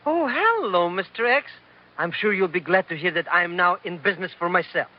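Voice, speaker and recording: Muffled vintage radio, cowardly comic schemer, high-pitched, very animated, stammering, florid. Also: male voice, brisk. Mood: self-important, eager. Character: excitable, cowardly, money-loving small-time schemer, comic male sidekick. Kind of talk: 1940s radio comedy acting. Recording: dull old radio recording.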